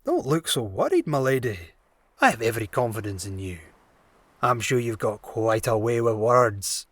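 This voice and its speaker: Man acting as pirate captain, lively varied pitch, falsely genial, unhurried, veiled threat, audio drama, close dry sound. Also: no echo. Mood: amused menace.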